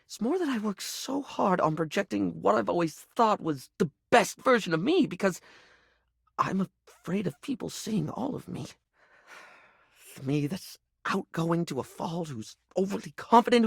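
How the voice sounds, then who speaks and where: A fabulous, theatrical male video game object brought to life. His light, high voice swings dramatically up and down as he gushes and rambles, affectionate yet insecure. The sound is close and dry. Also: gravelly, slightly rough, no room sound, exaggerated voice. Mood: confessional, vulnerable, then flamboyant, bubbly. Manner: theatrical, gushing, rambling, camp endearments. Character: flamboyant, chatty, insecure male living toiletry object, scatterbrained and affectionate. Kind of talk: video game character acting.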